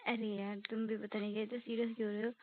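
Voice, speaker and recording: A woman's voice: medium pitch, flat delivery, fast, no echo, natural voice. Woman playing protesting young girlfriend, tinny phone call. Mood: explaining. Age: young adult. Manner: questioning, protesting, explaining herself. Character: defensive, reasoning young college girlfriend. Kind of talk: naturalistic relationship phone-call roleplay.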